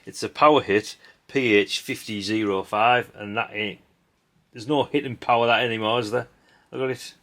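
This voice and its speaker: A man's voice: fairly low pitch, steady tone, moderate pace, pushed and bright. A middle-aged man chats casually and bluntly as a hobbyist host, rambling in a dry way with tag questions and mild swearing. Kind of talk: casual rambling commentary while sorting old electronics. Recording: clinking parts and background noise. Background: clicks and clatter of handled parts.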